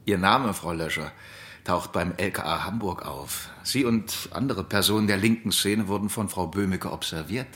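An actor playing a combative, argumentative law-and-order police detective who needles people, in a radio drama. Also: male voice, low voice, normal speed, fairly bright tone. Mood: needling, official.

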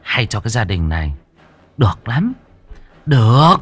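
A man's voice: lowish voice, very animated, measured pace, fairly bright tone, heavily acted. A man voicing a cold female loan-shark boss in a story, condescending, taunting and mock-sweet with veiled menace.

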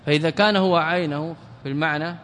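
A man teaching an Arabic grammar lesson as a religious scholar. He questions his students, answers himself, and chants verse lines slowly. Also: a little high, fairly even intonation, a bit bright, in a large hall, slight background noise.

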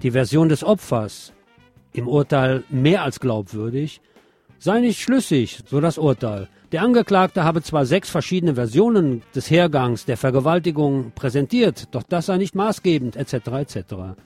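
A man reads the news in a soft, mellow tone with a medium pitch. He presents scripted items and steps aside to explain and comment with clear opinions. The sound is close and dry, with faint music underneath. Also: big pitch swings, normal speed, no room sound.

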